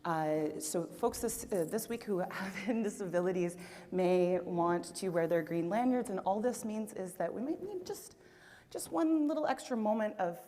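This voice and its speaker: A woman's voice: medium pitch, lively intonation, moderate pace, dark tone, slight background noise. Woman announcing on a conference stage. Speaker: event host. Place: large conference hall. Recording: long hall reverb.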